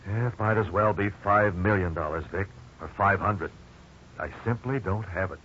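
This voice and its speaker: An actor playing a steady, reassuring middle-aged father, worried but firm, in a 1950s radio drama. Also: on the low side, moderate pace, natural voice. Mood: grave, resigned. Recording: dull, noisy old radio recording.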